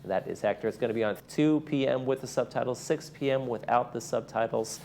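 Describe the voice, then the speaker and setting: A man with a creaky voice presenting casually as a television host, partly reading from notes. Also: medium pitch, a little fast, darkish tone, a little hiss or hum. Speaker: TV show host.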